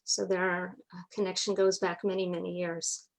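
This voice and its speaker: A woman's voice: on the low side, flat delivery, normal speed, vocal fry, poor audio. A woman with a North American accent, speaking as an interview guest and recounting family history in a conversational way. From North America (New York, Atlanta, Montreal). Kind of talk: personal family storytelling in conversation.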